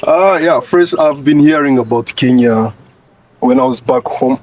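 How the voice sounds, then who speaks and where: A young male student answering slowly and hesitantly, with many fillers and restarts. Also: mid-range voice, darkish tone, slight background noise. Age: young adult. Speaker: international student.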